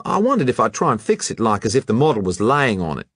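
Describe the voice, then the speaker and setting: A man doing a loud, brash Aussie lad. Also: medium pitch, sing-song, quick pace, slightly rough, no echo, studio quality, pitched above the performer's own voice, heavily acted. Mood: eager. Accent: Australian. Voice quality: broad colloquial slang. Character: brash young male Australian art student.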